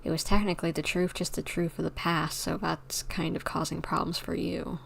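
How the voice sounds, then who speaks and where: Low, creaky-voiced woman game streamer. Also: flat delivery, normal speed, slight background noise. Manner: informal, conversational, thinking aloud. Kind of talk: casual livestream commentary and dialogue read-along.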